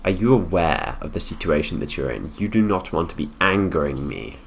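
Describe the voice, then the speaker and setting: Casting: male actor as imperious, coolly menacing young royal heir for ASMR roleplay; very deep, condescending delivery, noticeable background hiss. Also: lively intonation, creaky, full character voice. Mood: cold, threatening. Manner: condescending, deliberate, drawn-out phrasing with pauses. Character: haughty possessive young prince, heir to the throne, coolly menacing. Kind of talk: improvised ASMR roleplay, addressing the listener.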